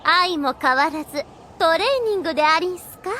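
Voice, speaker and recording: A woman's voice: very high voice, animated, brisk, heavy background noise. A woman voicing a sly, coquettish vampire girl in an anime audio drama, coaxing in affected archaic courtesan speech.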